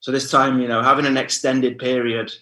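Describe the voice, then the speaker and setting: A man's voice: mid-range voice, little pitch movement, brisk, sharp tone. A man in his thirties with a Northern English accent, talking casually as a podcast guest and saying "mate" and "you know" often. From northern England. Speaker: guest, house music DJ and producer.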